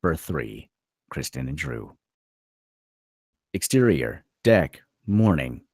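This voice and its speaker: A man's voice: extremely low pitch, very animated, slow, darkish tone, husky, no room sound, studio quality. A man narrating stage directions as scripted narration at a table read.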